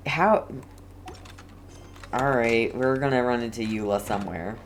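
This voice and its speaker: A game streamer giving casual commentary in a rambling, hesitant way, with halting phrases. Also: medium pitch, slight background noise.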